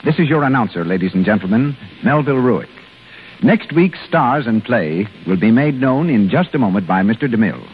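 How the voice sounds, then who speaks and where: A man's voice: medium pitch, lively intonation, a little fast, soft background music. An American man announcing in formal 1930s radio style. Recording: tinny, noisy 1930s radio recording.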